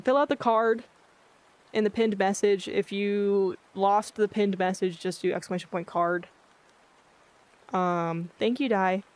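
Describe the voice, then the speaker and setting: A livestreamer chatting casually with viewers in a monotone, blunt-sounding way, often losing their train of thought. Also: medium pitch. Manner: rambling, loses track of thoughts. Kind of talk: casual chat with live viewers. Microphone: filtered streaming mic.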